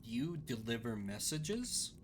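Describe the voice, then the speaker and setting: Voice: medium pitch, big pitch swings, slow, background noise, pitched below the performer's own voice. A game player voicing an earnest, troubled young woman who questions directly and probingly, over music. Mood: puzzled. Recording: music under the voice.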